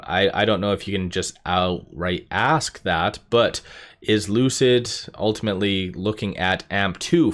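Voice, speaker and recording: Low-voiced man, informal commentary. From Canada. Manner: rambling, thinking out loud. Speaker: YouTube host.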